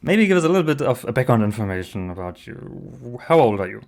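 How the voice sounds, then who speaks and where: A man's voice: mid-range voice, big pitch swings, quick pace, creaky, darkish tone. A man from Germany, hosting an interview podcast and asking questions. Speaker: podcast host.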